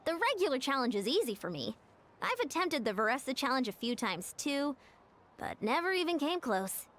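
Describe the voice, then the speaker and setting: A woman's voice: sits high, big pitch swings, moderate pace, fairly bright tone, slight background noise. A woman playing a confident, encouraging young athlete in a game cutscene. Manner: encouraging, self-assured.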